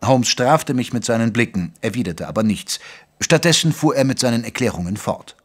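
Male actor as detective's gentlemanly chronicler, mid-pitched, articulate audio drama narration. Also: expressive, close to an everyday voice. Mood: questioning. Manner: measured, articulate storytelling.

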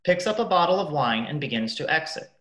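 A man's voice: a little high, expressive, fast talker, a bit bright. Young man narrating in an echoey room. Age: young adult. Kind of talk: scripted narration, reading stage directions.

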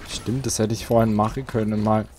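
A man's voice: fairly low pitch, flat delivery, a little fast, mellow, a little hiss or hum. A man hosting a let's play, chatting casually and thinking aloud while he plays, seated at home. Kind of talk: casual gameplay commentary.

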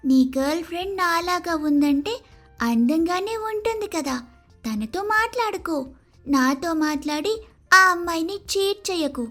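Woman as a high, haughty young girl dog. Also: lively intonation, unhurried, a bit bright, soft background music, full character voice. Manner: haughty, melodramatic. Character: vain, haughty young female dog, proud of beauty. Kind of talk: animated moral story voice acting.

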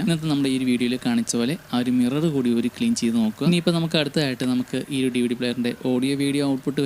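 A man's voice: mid-range voice, a little mellow, slight background noise. A man, hosting an electronics repair channel and narrating a restoration tutorial step by step. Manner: explanatory, step by step, addressing viewers.

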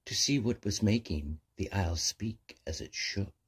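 A man narrating a rhyming children's bedtime story in measured, sing-song verse. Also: on the low side, husky.

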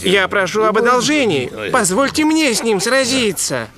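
High, excitable young cartoon dog hero. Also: male voice, moderate pace, over a noisy crowd. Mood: defiant. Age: young adult. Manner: earnest, excitable, declamatory. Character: brave, hot-headed young dog would-be musketeer, pious about duty. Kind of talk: dubbed cartoon voice acting.

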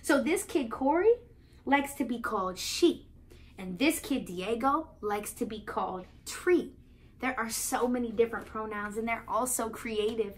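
High-voiced, expressive young American woman. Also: unhurried. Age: young adult. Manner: expressive, playful, sing-song when reading. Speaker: children's read-aloud host and teacher. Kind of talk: children's storybook read-aloud, explaining to young kids. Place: small living room, indoors on a couch.

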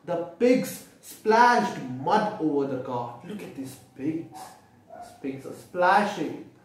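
Children's storyteller: Indian-accented man, unhurried, very animated intonation, recorded in an echoey room away from the mic. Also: a little high, a little hiss or hum. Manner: expressive, repeats and explains for young listeners. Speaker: storyteller reading a picture book aloud. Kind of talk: children's read-aloud with explanations and questions. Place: small room indoors, seated at a table. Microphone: camera mic from a few feet away. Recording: echoey room, distant microphone.

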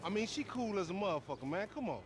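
A man's voice: high voice, sing-song, fast talker, background noise. A man playing a pushy, teasing young street hustler in a video game.

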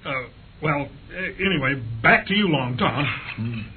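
An earnest male investigator. His voice is very husky and moves about in a lively way. It sounds like an old radio play heard over a phone line. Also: fairly high pitch, slow, exaggerated voice. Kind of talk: old radio drama acting.

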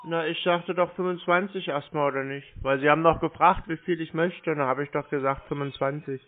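A man's voice: a little high, steady tone, a little fast. A man chatting casually on the phone, asking needling questions in a mock-naive manner. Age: adult. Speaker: prank caller to scam call centres. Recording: band-limited phone call audio.

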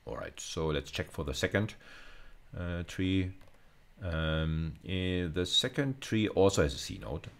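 A man's voice: sits low, animated, a little slow, vocal fry. A middle-aged man giving an informal lecture as a university teacher, explaining things step by step and often rephrasing as he thinks aloud.

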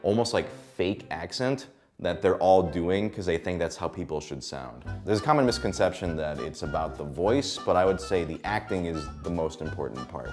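A young man who works as a voice actor, talking to camera about his craft in a relaxed, animated way and breaking into voices mid-sentence. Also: deep voice, moderate pace, faint music behind. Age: young adult. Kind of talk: explaining voice acting to camera. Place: indoor studio with plain backdrop.

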